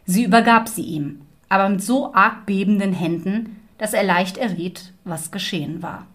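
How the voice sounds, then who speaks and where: A woman's voice: medium pitch, moderate pace, a bit bright. A woman storyteller reading a scripted fairy tale narration. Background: music.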